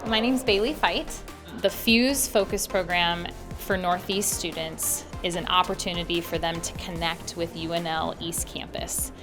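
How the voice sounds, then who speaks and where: An American woman with a fairly low voice explains a program in a promotional interview. She speaks as a coordinator, with music clearly playing behind her. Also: steady tone, a little slow. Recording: music bed under speech. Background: background music.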